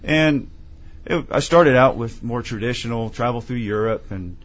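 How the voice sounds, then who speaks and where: A man from Tennessee, an author being interviewed, telling his story in a measured, earnest way. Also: medium pitch, highs cut off. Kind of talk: conversational interview.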